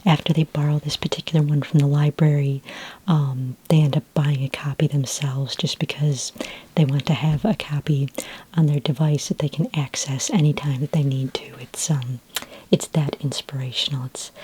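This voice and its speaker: Breathy, low-pitched whispering ASMR woman. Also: fairly even intonation, moderate pace. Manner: gentle, helpful, hesitant. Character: friendly, patient futuristic library librarian. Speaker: ASMR roleplay performer. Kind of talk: soft-spoken ASMR roleplay. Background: computer keyboard typing.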